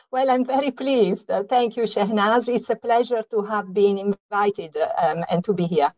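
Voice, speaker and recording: An older woman with an Italian accent, a guest author answering interview questions with many pauses and fillers. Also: medium pitch, a little mellow. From Italy. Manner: hesitant, many ums and uhs. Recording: slightly dull, lo-fi sound.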